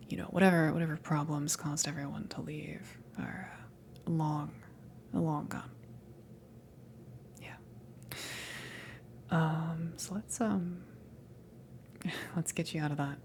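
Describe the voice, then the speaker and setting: A low, slightly creaky whisper belongs to this friendly alien salvage crew member, acted by a woman. The delivery is unhurried and hesitant, an easygoing, awkward ramble in an intimate ASMR roleplay style, soft and breathy throughout. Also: slight background noise. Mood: reassuring, hesitant. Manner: hesitant, halting, casual rambling.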